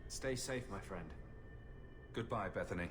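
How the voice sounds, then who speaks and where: An actor playing a bold, wry, brotherly adventurer hero in a fantasy game. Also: male voice, deep voice, a little slow, noisy background. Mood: concerned.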